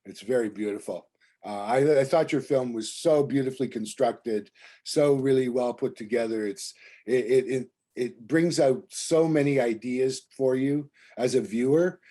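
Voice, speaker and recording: Mellow-voiced male moderator. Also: mid-range voice, moderate pace. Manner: encouraging, repeats words when praising. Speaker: festival programmer, moderator.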